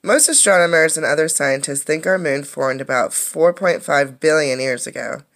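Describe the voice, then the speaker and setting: Woman reading aloud with a creaky voice. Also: low-pitched. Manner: explanatory, pausing to comment and ask questions. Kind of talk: interactive read-aloud of a children's science book.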